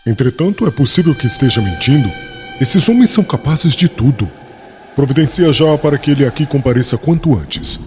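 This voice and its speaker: A man's voice: medium pitch, expressive, fairly quick, darkish tone, faint music behind, close to an everyday voice. A man playing a young religious inquisitor, formal, commanding and coldly cruel, in a dramatized audiobook.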